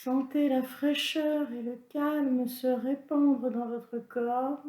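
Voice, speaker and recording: A woman leading a guided yoga nidra relaxation as an instructor, in a calm, soothing, instructional manner. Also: high-pitched, slow. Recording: roomy, echoing sound.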